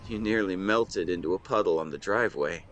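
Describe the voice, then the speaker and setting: Outdoor ambience sits faintly behind a lovestruck young man, as acted by a man with a lowish voice. He speaks earnestly and tenderly, in halting phrases, as in an intimate romantic audio drama. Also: slight background noise, natural voice. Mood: nostalgic, gentle. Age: young adult. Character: lovestruck 24-year-old man confessing love to his best friend. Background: seaside boardwalk ambience effects.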